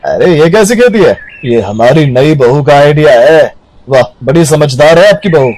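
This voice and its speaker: A man's voice: a little high, expressive, moderate pace, darkish tone, a little hiss or hum, lightly acted, poor audio. A man playing a homely, blunt village farmer in a cartoon, speaking in an emphatic, declaiming way. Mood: amazed. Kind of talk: Hindi moral-story cartoon acting.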